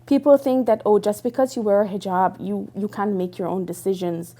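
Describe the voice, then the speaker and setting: A woman's voice: mid-range voice, steady tone, fairly quick, dark tone. A young woman raised in Dubai, telling her personal story earnestly and reflectively, with frequent fillers and restarts. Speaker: interviewee. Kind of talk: personal testimony.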